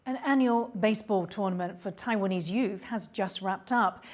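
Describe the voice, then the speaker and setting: A female news anchor with a mid-range voice. She reads her script in a steady, even studio delivery. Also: medium pitch.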